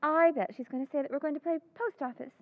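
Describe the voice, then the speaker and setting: Woman acting a very high-voiced sweet young fiancée. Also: steady tone, fast, no echo, phone-quality sound. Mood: teasing. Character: sweet, demure young fiancée, gently teasing. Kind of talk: old-time radio drama acting.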